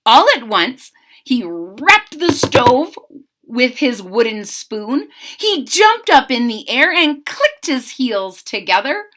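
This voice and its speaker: Lady reading a kids picture book slow n bright, voice bouncing all over, little chatty asides. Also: high-pitched. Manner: expressive, with chatty asides to listeners. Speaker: story reader.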